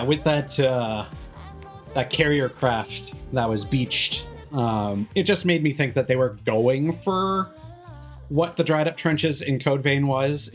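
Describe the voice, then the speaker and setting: Rambling game streamer over background music. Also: medium pitch. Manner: rambling, analytical, trailing off mid-thought. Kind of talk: casual livestream commentary while gaming.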